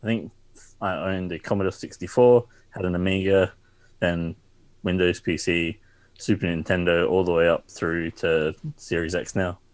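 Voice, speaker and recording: Aussie guy guesting on a podcast, really low voice, super dry close sound, pretty laid-back. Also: steady tone, moderate pace, no room sound. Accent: Australian. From South Australia. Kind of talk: casual podcast chat.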